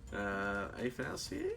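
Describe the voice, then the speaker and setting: Bright-voiced man running a tabletop game. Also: on the low side, gravelly. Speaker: game master. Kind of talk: running a tabletop game, casual rules talk.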